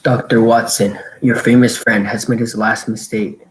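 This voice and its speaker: An actor playing a smug, stern police chief, formal and accusing, in old-time radio drama style. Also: male voice, fairly low pitch, level tone, normal speed, a little hiss or hum, close to an everyday voice, low-quality recording. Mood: smug accusation.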